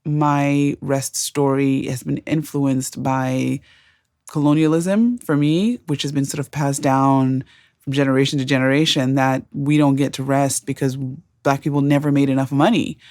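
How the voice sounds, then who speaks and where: A middle-aged woman from Zimbabwe co-hosting a podcast, sharing personal stories in a calm, thoughtful and earnest way. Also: very deep voice, moderate pace, dry recording, studio quality. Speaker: podcast co-host. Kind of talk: reflective conversational storytelling.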